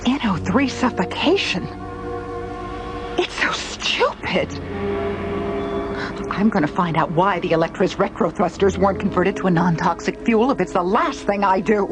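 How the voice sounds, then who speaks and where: A caring but determined space station doctor, played by a radio actress. Her voice is mid-pitched and her delivery natural. Music plays behind her in the drama. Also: animated, moderate pace, pauses often, lightly acted. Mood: bitter, determined.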